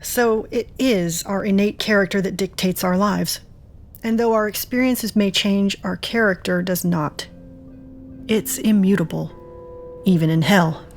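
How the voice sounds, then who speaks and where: A woman's voice: mid-range voice, unhurried, faint music behind, lightly acted. Actress doing an earnest thoughtful woman, audio drama, natural mid-range voice. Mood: reflective, assured. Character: earnest, reflective woman searching for answers about love.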